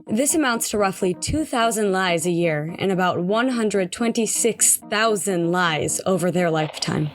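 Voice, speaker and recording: A woman's voice: mid-range voice, lively intonation, normal speed, few pauses, lightly acted. A woman playing the narrator of an audio drama, reading scripted facts in a matter-of-fact way. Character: female narrator reciting statistics on lying. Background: music.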